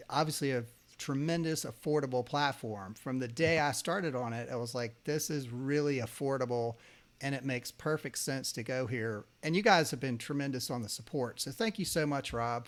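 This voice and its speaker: A man's voice: mid-range voice, moderate pace. A man hosting a podcast, chatting in a casual, enthusiastic way and talking about his own experience. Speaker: podcast host. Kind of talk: casual interview chat.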